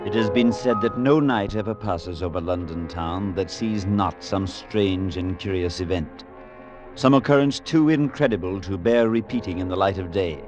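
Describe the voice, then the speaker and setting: Measured priest narrator, played by a man, lowish voice, dull old radio sound with faint music behind. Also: natural voice. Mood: reflective. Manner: measured, reflective, gently amused. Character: thoughtful male priest narrator. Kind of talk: 1940s radio acting.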